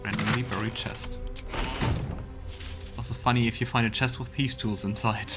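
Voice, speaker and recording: A man's voice: mid-range voice, level tone, moderate pace. A man hosting a let's play, giving casual, musing and wry conversational commentary on a video game. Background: game music.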